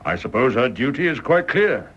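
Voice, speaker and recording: A man's voice: medium pitch, big pitch swings, moderate pace, a bit bright, husky. A man with a British accent playing a courteous, upright major in a radio drama, polite and formal. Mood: reproachful. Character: courteous, upright retired police major, protective of family. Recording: dull, muffled radio drama recording.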